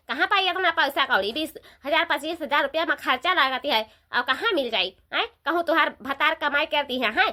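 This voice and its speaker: Village daughter-in-law for cartoon female performer, eastern Uttar Pradesh accent, high-pitched, talkative and emphatic. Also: sharp tone. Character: dutiful chatty village elder daughter-in-law. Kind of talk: Hindi-belt cartoon dialogue.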